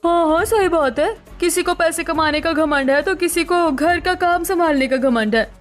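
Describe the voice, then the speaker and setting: An ambitious young daughter-in-law in a cartoon drama voiced by a woman. She is pointed and outspoken in a high voice over light music. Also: normal speed. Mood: taunting.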